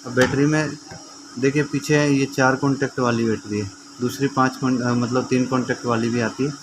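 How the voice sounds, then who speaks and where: A man's voice: mid-range voice, fairly even intonation, normal speed. Man explaining repairs, noisy recording. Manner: explanatory, repeatedly checking in with viewers. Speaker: repair tutorial presenter. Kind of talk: informal hands-on repair demonstration. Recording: clearly noisy amateur recording with ambience.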